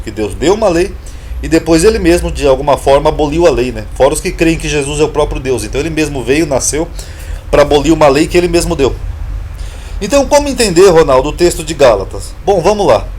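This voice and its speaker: A man's voice: fairly high pitch, expressive, quick pace, darkish tone, slight background noise. A Brazilian man explaining Bible terms in an informal lesson, emphatic and repetitive, asking rhetorical questions. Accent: Brazilian Portuguese. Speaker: Bible teacher, channel host.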